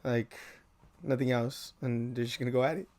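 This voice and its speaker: A man's voice: mid-range voice, steady tone, normal speed, darkish tone. A young man with an ordinary mid-range voice. He talks in an easygoing, casual way on a podcast and often chips in with short questions. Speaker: podcast co-host. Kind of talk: casual chat.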